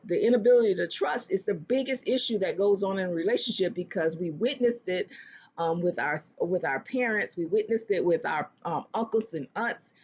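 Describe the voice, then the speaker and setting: A speaker giving earnest relationship advice in a self-help talk, often restarting phrases and slowing down to stress a point. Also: medium pitch, muffled recording, a little hiss or hum. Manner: earnest, repeats and restarts phrases, slows for emphasis.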